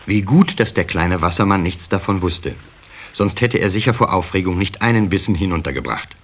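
A man's voice: deep voice, lively intonation, a little fast. A man narrating a scripted children's story in a radio play, as the storyteller. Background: soft guitar music at times.

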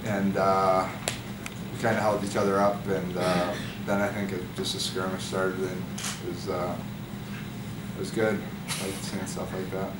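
A young man, a college hockey player, answering post-game press questions in a relaxed, halting way with many fillers. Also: low voice. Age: young adult. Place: press room with backdrop. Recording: echoey room, far mic, loud hum.

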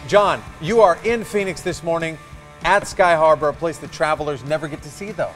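A man's voice: fairly high pitch, a little fast, a bit bright. Jokey American male anchor, music behind. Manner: jokey, informal. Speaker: morning news anchor. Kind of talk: light-hearted studio banter. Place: TV studio.

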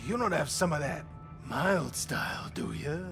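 Gravelly, cranky older customer. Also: male voice, a little high, sing-song, measured pace, a bit bright, faint music behind, full character voice. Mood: irritated. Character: cranky, slurry older male customer, rudely impatient. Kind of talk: visual novel voice acting.

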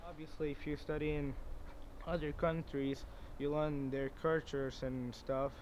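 A man's voice: fairly high pitch, level tone, a little slow, a little mellow. Frank teenage boy outdoors. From South Korea. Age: teens. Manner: frank and jokey. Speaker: international student. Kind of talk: outdoor interview. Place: outdoors on a lawn by woods. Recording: muffled, faint outdoor noise.

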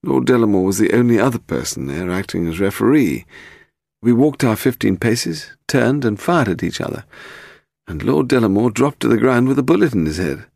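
A man's voice: on the low side, lively intonation, moderate pace, creaky, darkish tone, dry recording, clean studio sound, voice pushed up. A man voicing a nervous, indignant in an audiobook, prim and plaintive, forever protesting. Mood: aggrieved confession. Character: fussy, nervous, bald upper-class Englishman, indignant and wounded.

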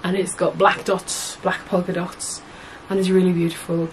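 Husky young woman with a cold. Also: on the low side, flat delivery, normal speed, a little hiss or hum, low-quality recording. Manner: chatty, rambling, many fillers and self-corrections. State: hoarse from a cold. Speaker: sewing vlogger. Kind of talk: casual chat to camera. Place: small indoor room at home.

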